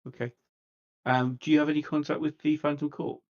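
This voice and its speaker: Guy with a creaky monotone playing an rpg, casual, kinda lo-fi sound. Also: a little high, fairly quick. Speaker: roleplaying game player. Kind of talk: casual in-character tabletop play.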